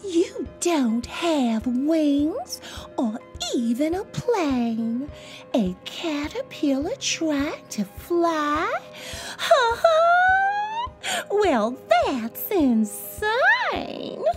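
Woman voicing a mocking, high-pitched storybook rabbit. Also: wildly swooping pitch, over music, exaggerated voice. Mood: scoffing. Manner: drawn-out, scoffing delivery.

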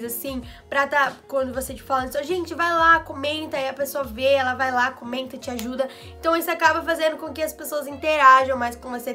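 A young woman vlogger, slightly hoarse from a cold, giving tips in chatty casual talk full of asides, filmed in her small bedroom studio. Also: high voice, bright, forceful tone. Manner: chatty, quick asides and fillers. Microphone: camera in front, head and shoulders framing.

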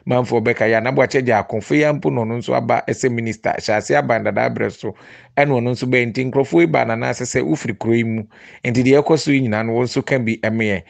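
A Ghanaian man delivers a scripted news report as a voice-over. His pitch sits in the middle of the male range. The recording is close and dry, with very little room sound. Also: steady tone, quick pace, gravelly, dry recording.

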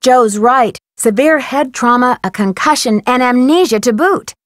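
Actress as high-voiced, wry young woman detective. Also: a little slow, no room sound, clean studio sound, close to an everyday voice. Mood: earnest, puzzled. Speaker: voice actor in a detective game. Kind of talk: scripted video game dialogue.

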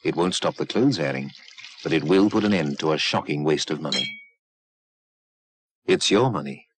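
A man's voice: extremely low pitch, normal speed, noisy background. A man with a British accent reading scripted public information narration as an advert voice-over.

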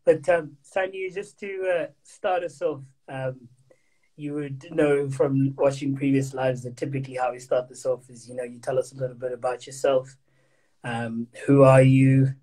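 A young man hosting a casual livestream interview over a phone video call, upbeat and enthusiastic, with a slightly husky voice. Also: medium pitch, level tone, normal speed, warm tone, low-quality recording. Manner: upbeat, enthusiastic, many fillers. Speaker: host. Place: small indoor room. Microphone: phone front camera, video call.

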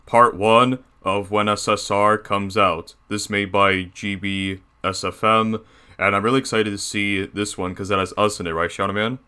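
A man doing a bossy, bright, low animatronic bear. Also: fairly even intonation, normal speed, smooth. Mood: bossy, eager. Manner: bossy, crude, bragging. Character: bossy crude male animatronic bear, self-satisfied boss. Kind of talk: character reaction commentary.

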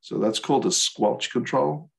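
A man's voice: mid-range voice, moderate pace, creaky. An older man giving an explanatory presentation over a video call in a plain-spoken, hesitant way. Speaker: presenter, licensed radio operator. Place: remote video call webinar.

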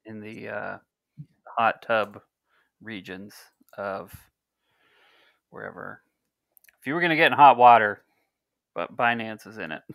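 A man's voice: fairly low pitch, measured pace, creaky, pauses often, fairly bright tone, no echo, studio quality. A man in his thirties hosting a podcast over a video call on a headset mic, chatting loosely and reading news and sponsor copy with small slips. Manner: loose, rambling, reads aloud with small slips. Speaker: podcast host. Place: home room on a video call.

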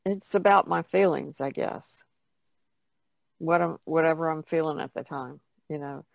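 Woman, heavy gravelly fry, casual painter's chat, loose trailing phrases, dry sound. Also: sits low, unhurried, no echo. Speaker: painter, podcast guest.

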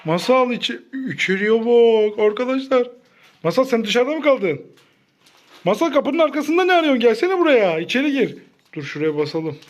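A woman's voice: fairly high pitch, sing-song, normal speed. A woman hosting a children's play vlog, talking casually to a child in short, repeated, exclamatory phrases.

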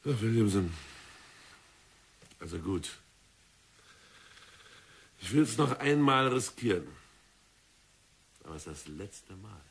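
Stern bank director, deep husky voice, fretful, old radio play. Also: male voice, lively intonation, slow pace, long pauses, exaggerated voice. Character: worried male bank director, middle-aged. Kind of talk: 1960s radio drama acting.

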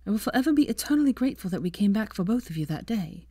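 A woman's voice: mid-range voice, very animated, racing, dark tone, no room sound, studio quality, pitched above the performer's own voice. A woman voicing a grateful friend in a story, speaking in a heartfelt, formal way.

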